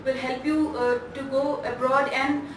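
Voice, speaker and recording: A woman in her twenties with a North Indian accent, giving a student testimonial in a hesitant, halting way. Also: high-pitched, little pitch movement. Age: 20s. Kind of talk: interview testimonial. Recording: echoey, distant mic, clear background noise.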